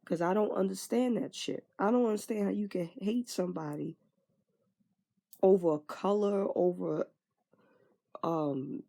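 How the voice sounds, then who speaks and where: A woman's voice: medium pitch, a little slow, creaky, long pauses, dark tone. A woman in her twenties frankly venting in a candid personal monologue, swearing casually and trailing off with fillers. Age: 20s. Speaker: vlogger.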